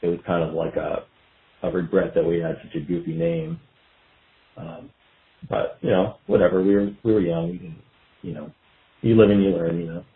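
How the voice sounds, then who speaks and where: A man's voice: deep voice, fairly even intonation, moderate pace, long pauses. A man chatting casually as a musician guest in an interview, looking back in a hesitant, meandering, filler-heavy way. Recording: dull lo-fi roomy call sound.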